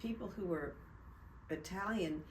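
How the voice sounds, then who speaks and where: Slow, musing older woman host. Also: on the low side, a little hiss or hum. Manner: slow, musing, lively swoops. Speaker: host and actress. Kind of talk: casual interview chat. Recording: echoey, distant from mic.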